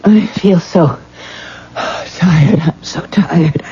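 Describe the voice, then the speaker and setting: An actress playing a possessed young bride in a radio drama, a daughter by turns weary, eerie and defiant. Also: female voice, lowish voice, drawn-out words, dark tone. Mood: weary, hollow. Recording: dull, noisy old radio broadcast.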